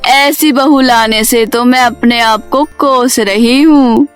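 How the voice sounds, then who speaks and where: A woman's voice: fairly high pitch, fairly even intonation, slow, soft background music. A woman voicing an elderly grandmother in a cartoon story, an insistent, complaining mother-in-law. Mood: scolding.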